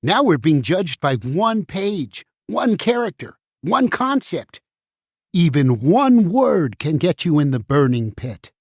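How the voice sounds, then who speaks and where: A man's voice: a little high, sing-song, takes it slowly, darkish tone, breathy edge, dry recording, clean studio sound, exaggerated voice. A man in a puppet show voicing a nervous banned book, emphatic and foreboding. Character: nervous, fugitive banned book, gossipy and resigned. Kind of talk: musical puppet show stage acting.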